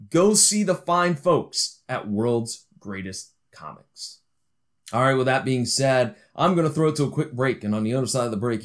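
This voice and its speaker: A man hosting a podcast, talking in an upbeat, chatty, joking way. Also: medium pitch. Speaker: podcast host. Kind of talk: casual podcast chat. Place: busy indoor expo hall.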